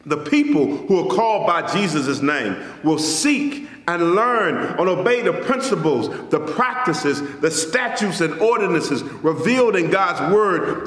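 Man preaching in an echoey church. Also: high voice. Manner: measured preaching, rising on key phrases, dropping soft at line ends. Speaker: pastor. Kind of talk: sermon. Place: church sanctuary. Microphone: stand mic in front, camera at a distance.

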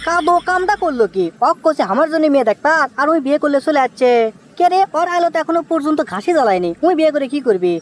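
A man voicing an excitable young cartoon bachelor from a village, whiny and impatient, with a Bogura accent and a pitched-up effect. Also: fast, slight background noise. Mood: indignant complaining. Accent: Bogura, northern Bangladesh. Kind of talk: comic cartoon dialogue.

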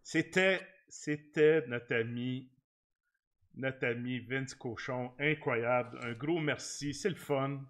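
A man's voice: mid-range voice, slow pace, fairly bright tone. A man in his forties with a Québécois accent, chatting informally and effusively as the host of a sports livestream. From Quebec, Canada. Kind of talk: casual livestream chat with guest and viewers. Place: small home studio room, indoors. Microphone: large desk microphone close up.

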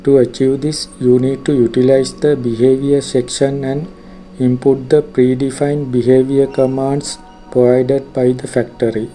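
A man delivers a scripted tutorial voice-over in a measured, formal manner. His pitch is mid-range and stays very even from phrase to phrase. Music plays clearly underneath the instructional narration. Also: poor audio. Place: voice-over for a screen recording.

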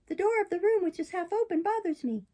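A woman's voice: extremely high pitch, lively intonation, fast talker, mellow, pitched above the performer's own voice. A woman voicing a stubborn wooden puppet boy in a storybook, childish and thin, with plaintive protests. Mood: complaining.

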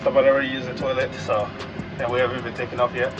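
A man's voice: mid-range voice, flat delivery, racing, lo-fi sound, music in the background. A man giving unscripted, casual commentary as a travel vlogger, recorded on a handheld phone aboard an airliner. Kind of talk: unscripted on-location vlog commentary. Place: inside an airliner cabin.

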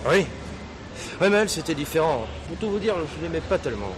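A man's voice: fairly high pitch, lively intonation, brisk, background noise, close to an everyday voice. An actor playing a young shop employee in his twenties, deferential and candid, in a French radio crime drama. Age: 20s.

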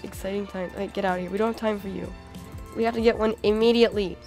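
A young woman with a hoarse, cold-worn voice, chatting casually and excitedly over her gameplay as a channel host. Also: mid-range voice, fast talker, soft background music. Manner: excitable, many "oh my god"s and "like"s.

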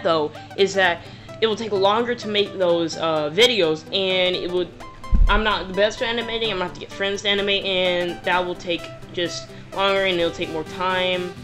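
A YouTuber telling a personal story in a casual, rambling, slangy way. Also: mid-range voice. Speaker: YouTuber narrating a personal story over gameplay.

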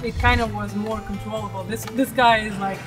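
A woman's voice: medium pitch, steady tone, brisk, over music. A young woman co-hosting a sailing vlog, chatting casually and often laughing while out on a catamaran at sea. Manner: giggly, chatty.

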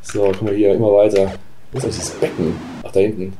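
A man in his thirties chatting casually and reacting excitedly while he plays a retro game with a friend. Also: lowish voice, fast talker, music in the background. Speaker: co-host playing the game. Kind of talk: casual let's play chat. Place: small room indoors. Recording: clear background noise.

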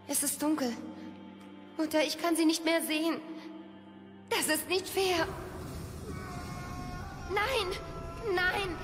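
A woman's voice: high-pitched, a bit bright. An actress playing a devoted thirty-year-old noblewoman healer in a fantasy audio drama. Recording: long hall reverb, faint music.

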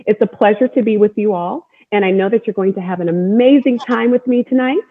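A woman's voice: mid-range voice, brisk. An American woman speaking encouragingly as host to an online group, repeating words for emphasis, on a video call. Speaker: host, storytelling athlete. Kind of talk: welcoming talk to an online group. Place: room at home. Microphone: wireless earbud on video call. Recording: narrow-band video call audio.

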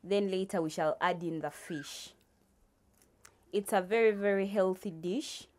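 A young woman casually explaining cooking for a vlog, deliberate and often repeating her phrases. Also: medium pitch, darkish tone. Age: young adult. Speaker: guest vlog presenter.

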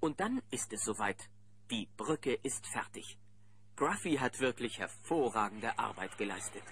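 A man narrating a children's audio drama in a lively storytelling style. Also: mid-range voice, a little slow, slight background noise. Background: loud music.

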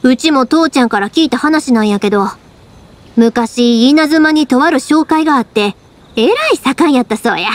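A woman voicing a cheerful young fireworks maker in a game, speaking with a Kansai accent and a teasing, warm-hearted manner. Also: high voice, moderate pace, slight background noise, lightly acted. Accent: Kansai dialect. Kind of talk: video game voice acting. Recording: game audio with soft music.

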